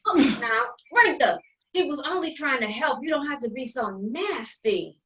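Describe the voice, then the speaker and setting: A haughty, sharp-tongued sister in a stage family drama , played by an actress. Her voice is fairly high and sits a little away from the mic. She lectures in polished words, coated in sugary sarcasm. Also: animated, normal speed, recorded from a distance, low-quality recording. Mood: indignant. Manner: condescending, sarcastic, enunciated scolding with sugary endearments. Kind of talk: dramatic play reading.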